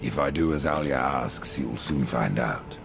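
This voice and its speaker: Grim horseman: gravelly, very deep, dry and sardonic, game score underneath. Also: over music. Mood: wry. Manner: dry, questioning, measured. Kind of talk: video game cutscene acting. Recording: game score playing behind.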